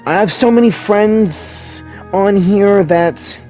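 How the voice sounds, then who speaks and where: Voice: medium pitch, slow, darkish tone, soft background music. A video game player giving casual commentary and speaking along with the story during a playthrough.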